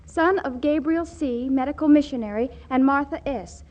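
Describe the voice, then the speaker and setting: Casting: woman as overwrought teenage girl, piercingly high, tearfully dramatic, old-time radio comedy. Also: normal speed, a little mellow, close to an everyday voice. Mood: eager, reading aloud. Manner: melodramatic, tearful. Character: excitable, lovelorn, wailing teenage daughter. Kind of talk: 1940s radio comedy acting. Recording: old 1940s radio broadcast.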